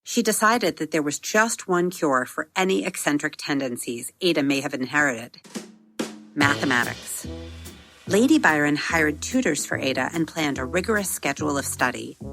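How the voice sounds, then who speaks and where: A woman narrating a scripted children's story as podcast host, in a measured, deliberate storytelling manner with emphatic phrasing. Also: deep voice, animated, music in the background.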